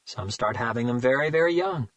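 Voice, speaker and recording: A man's voice: mid-range voice, expressive, fairly bright tone, dry recording, highs cut off, natural voice. A man voicing a smug male serial killer who mocks and savors his words, in an audiobook reading. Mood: smug, taunting. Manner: mocking, slow and savoring.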